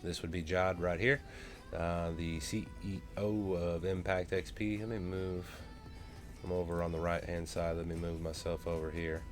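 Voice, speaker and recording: A man's voice: sits low, level tone, normal speed, soft background music. A man, casually talking through a crypto project on a screen share with many ums and uhs. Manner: rambling, many fillers. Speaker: crypto vlogger.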